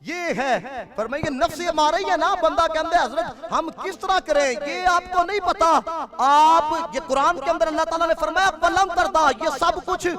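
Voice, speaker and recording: A man with a Pakistani Punjabi accent preaching an impassioned Islamic sermon, exhorting his listeners with rhetorical questions. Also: normal speed. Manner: swings from loud, high-pitched declaiming to low, quiet asides.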